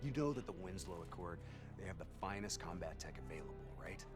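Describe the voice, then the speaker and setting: An actor playing a brash, persuasive special forces operative in a video game cutscene. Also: male voice, sits low, lively intonation, quick pace. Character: gruff, smooth-talking male special forces operative. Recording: music behind the voice.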